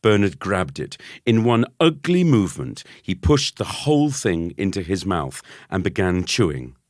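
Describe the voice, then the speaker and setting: A man reading a scripted children's story as narrator, with an expressive, wry delivery. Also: low-pitched, moderate pace, no echo, clean studio sound. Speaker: audiobook narrator.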